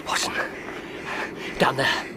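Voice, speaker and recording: An English actor playing a brilliant, self-assured detective in a radio drama, with a precise, mercurial, teasing manner. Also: male voice, takes it slowly, background noise, lightly acted. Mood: urgent.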